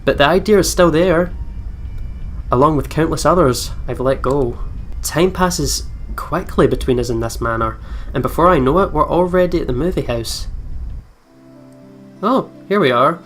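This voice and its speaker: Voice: medium pitch, normal speed. A let's play host reading game text aloud with casual commentary, over game music.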